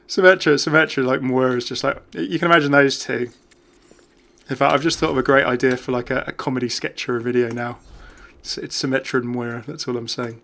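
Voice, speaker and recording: A man's voice: mid-range voice, steady tone, a little fast, creaky, a bit bright. A British man hosting a gaming video with chatty, casual, off-the-cuff commentary. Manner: chatty, easily amused.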